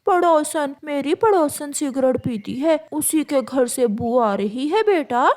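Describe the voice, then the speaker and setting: Lady doing naggy snappy crow mom from a kids cartoon, high, drawn out delivery. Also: lively intonation, slow pace, darkish tone. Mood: defensive. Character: bossy, snappish mother crow of the bride. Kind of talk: children's cartoon character acting.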